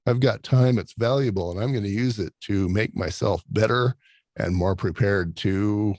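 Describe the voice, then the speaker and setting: Deep-voiced male podcast host, reflective and encouraging, close dry sound. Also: moderate pace, a little mellow, no echo. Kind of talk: interview hosting.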